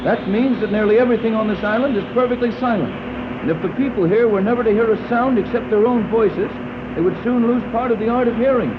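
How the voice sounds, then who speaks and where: An actor playing a shrewd, plain-spoken American captain in a 1930s radio adventure, blunt and matter-of-fact. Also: male voice, high-pitched, brisk, lightly acted. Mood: shrewd reasoning. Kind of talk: 1930s radio serial acting. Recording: muffled, noisy old 1930s radio recording.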